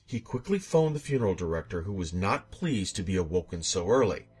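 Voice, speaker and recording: Low, husky, expressive male horror narrator. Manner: theatrical, suspenseful delivery. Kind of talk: scripted dramatic narration of a horror tale.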